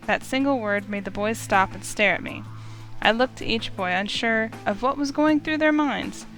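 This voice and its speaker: A woman reading a visual novel aloud as expressive first-person narration. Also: a little high, moderate pace, soft background music, rough recording.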